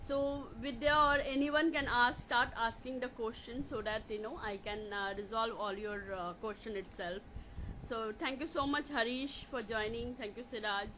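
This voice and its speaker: Casting: woman with Indian accent, slightly high voice, live-streaming coach, halting and repetitive informal talk, faint noise behind. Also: fairly even intonation, fairly bright tone. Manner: repetitive, halting with frequent "you know". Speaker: life coach hosting a live question session. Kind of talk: informal livestream talk to viewers.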